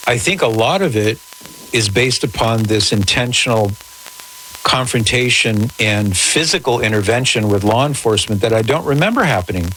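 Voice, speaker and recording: A man hosting a radio call-in show, answering in a measured, articulate, conversational way with formal wording. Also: medium pitch, expressive, fairly bright tone. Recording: noisy radio broadcast with static.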